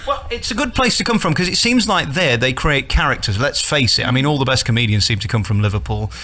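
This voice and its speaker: Fast, animated male radio host. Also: medium pitch. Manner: teasing, flattering, fast-talking. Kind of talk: lively radio interview.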